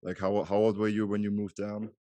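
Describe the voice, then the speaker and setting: A man's voice: fairly low pitch, monotone, a little fast, warm tone, no echo. A man in his thirties from Germany, a native German speaker, hosting a podcast and interviewing casually.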